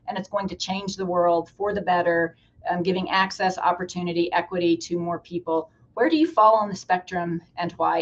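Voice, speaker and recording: A woman hosting and moderating a panel discussion over a video call. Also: lowish voice. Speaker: host, college administrator moderating a panel. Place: office, on a group video call. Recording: slightly dull video-call audio.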